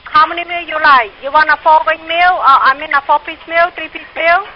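A hesitant, apologetic woman at a restaurant, taking an order over the phone. Her voice is high. The line sounds muffled, with faint noise behind it. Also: fairly even intonation, a little fast. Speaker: restaurant worker.

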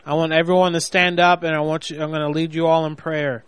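A man's voice: on the high side, little pitch movement, fast talker, clear, resonant tone, no room sound, close to an everyday voice. A man playing an indignant caller who poses as an army veteran in a prank phone call. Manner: indignant, blustering, self-righteous. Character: angry man posing as a boastful army veteran.

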